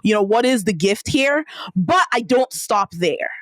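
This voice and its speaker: Animated Nigerian-accented woman. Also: unhurried, no echo, rough recording. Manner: animated, acts out quotes with big pitch jumps. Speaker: podcast guest, entrepreneur and speaker. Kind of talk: storytelling in casual interview.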